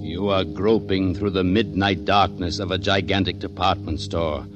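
A man plays an ominous narrator of vintage radio adventure. His low-set voice is menacing and dramatic, with loud music swelling behind it. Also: steady tone, moderate pace, highs cut off, lightly acted. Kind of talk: 1940s radio suspense narration.

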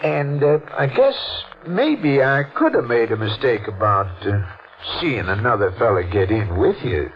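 An actor playing a sly, folksy small-town hotel clerk turned blackmailer in a 1940s radio mystery, with a rural American accent and feigned innocence. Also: male voice, mid-range voice, sing-song, a little slow. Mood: sly, insinuating. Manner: drawling folksy speech, sly feigned innocence, chuckling insinuation. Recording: dull, noisy old radio recording.

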